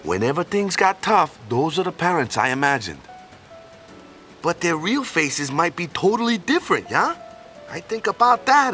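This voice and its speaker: A man's voice: on the high side, pushed and bright. An actor playing a good-hearted, boneheaded island man in a video game, talking in a casual, folksy way with tag questions. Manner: folksy and casual, tags sentences with "yeah?" and "you know?".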